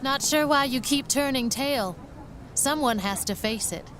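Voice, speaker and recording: A blunt but regretful woman, radio play, voice a bit high, noisy outside. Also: a little slow, a bit bright. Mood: challenging. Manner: frank, questioning, reflective. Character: candid, probing, regretful woman waiting for a train. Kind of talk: period radio drama acting.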